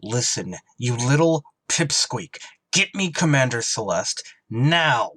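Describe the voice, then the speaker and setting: An actor playing a gruff, tormented emergency ship pilot in a radio drama, terse and weary under strain. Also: male voice, mid-range voice, sing-song, slow pace, fairly bright tone, no room sound, full character voice. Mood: angry, commanding. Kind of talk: radio drama acting with narrated inner monologue.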